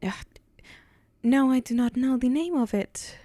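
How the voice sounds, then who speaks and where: A woman voicing a haughty, teasing and menacing demon in an ASMR roleplay, condescending and deliberate, with breathy whispered moments. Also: high voice, mellow, dry recording, pitched above the performer's own voice. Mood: disdainful, impatient.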